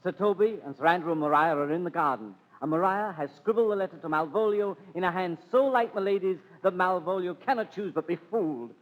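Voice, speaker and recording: A man's voice: sits high, a little fast, hardly any pauses, lightly acted. A man playing a world-weary court jester, narrating in a wry, confiding way in 1930s radio drama style. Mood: amused, weary. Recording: dull, echoing 1930s radio recording.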